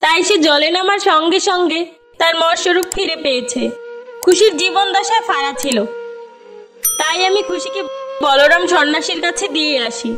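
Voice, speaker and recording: A woman playing a solemn mermaid mother in a children's cartoon, measured and firm. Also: very high-pitched, over music. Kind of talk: Bengali children's cartoon voice acting.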